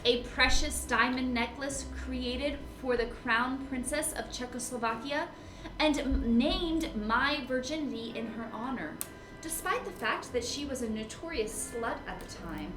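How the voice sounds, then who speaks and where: Woman playing a high-voiced, flighty, vain film starlet. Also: measured pace. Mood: haughty. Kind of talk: comic noir audio drama acting. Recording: roomy echo, music underneath.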